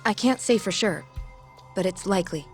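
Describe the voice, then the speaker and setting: An actress playing a polite, precise female hospital staff worker who explains things in a calm, informative way, in a game scene with faint music behind. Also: medium pitch, normal speed, natural voice. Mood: explaining. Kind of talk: game dub acting.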